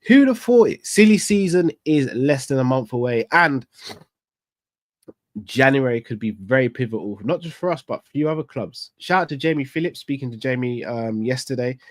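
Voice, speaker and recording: A man's voice: mid-range voice, lively intonation, normal speed, no room sound, studio quality. A man, congested from a cold, hosting a live fan stream in candid casual chat, thinking aloud with rhetorical questions. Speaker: football fan channel host. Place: small studio set with club decor.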